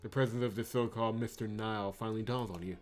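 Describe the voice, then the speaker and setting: A man hosting a let's play, reading game narration aloud and breaking into excitable commentary. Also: fairly low pitch, quick pace, faint music behind. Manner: excitable, exclamatory reactions.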